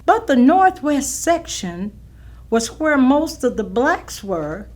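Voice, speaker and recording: An actress playing an elderly woman in a staged reading, deliberately recounting memories of old times. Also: high-pitched, darkish tone, full character voice. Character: elderly woman recalling neighborhood's old families. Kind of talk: staged reading of oral history monologue.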